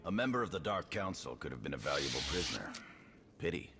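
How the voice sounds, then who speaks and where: An actor playing a cold, ruthless military commander in a video game, curt and authoritative. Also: male voice, very deep voice, fast talker, over loud music, heavily acted. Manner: flat-hearted, curt, authoritative. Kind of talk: video game cutscene acting.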